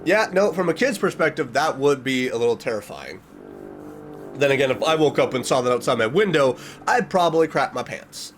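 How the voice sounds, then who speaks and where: A man's voice: medium pitch, expressive, brisk, a little hiss or hum. A man giving casual reaction commentary, reading aloud and reacting expressively, drawing out words for emphasis. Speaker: reaction video host.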